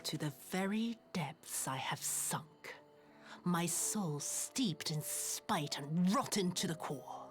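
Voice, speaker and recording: A bitter young game villainess, speaks in a low voice with slow, cruel menace. Her theatrical delivery is breathy and soaked in spite, with music playing behind her. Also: lively intonation. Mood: vengeful, spiteful. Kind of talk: dramatic video game voice acting. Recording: game audio with music underneath.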